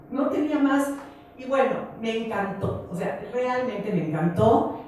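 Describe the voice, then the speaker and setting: A woman with a Mexican accent introducing a speaker at a conference in a semi-formal, hesitant way, often pausing mid-phrase. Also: mid-range voice, warm tone. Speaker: event moderator introducing a speaker. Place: large echoey conference room. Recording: echoey hall, distant mic, faint noise.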